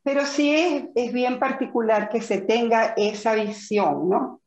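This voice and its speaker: A woman's voice: a little high, measured pace, darkish tone. A middle-aged woman from Venezuela with a Zulia accent, thoughtfully answering interview questions with frequent fillers and restarts. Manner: reflective, many fillers and restarts. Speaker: interview guest. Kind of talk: conversational interview. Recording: echoey, dull lo-fi audio.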